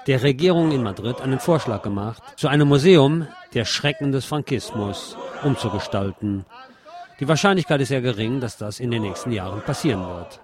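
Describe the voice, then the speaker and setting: A man narrating a street protest report as a radio reporter. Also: medium pitch. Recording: crowd noise behind the voice. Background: protest crowd and street sounds.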